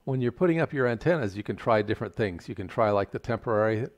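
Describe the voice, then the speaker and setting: An older man giving a measured, plain-spoken, step-by-step tutorial explanation. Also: on the low side, expressive. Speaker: instructor. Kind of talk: explanatory tutorial narration over slides.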